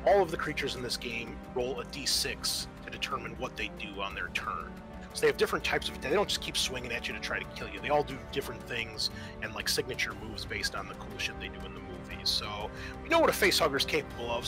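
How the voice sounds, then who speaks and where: A man's voice: medium pitch, animated, quick pace, over music. A man acting as game master, explaining and narrating a horror roleplaying game in a casual, sweary way.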